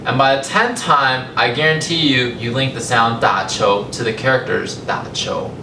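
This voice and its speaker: A young adult man from California with an American accent gives a public talk, telling his personal story in a conversational, measured way with deliberate pauses. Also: music in the background. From California, United States. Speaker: language company founder giving a talk. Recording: echoey, distant mic, rumbling noisy room.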